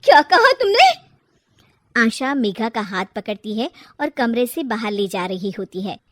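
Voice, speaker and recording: A woman's voice: mid-range voice, moderate pace, no room sound. A woman narrating a scripted moral story.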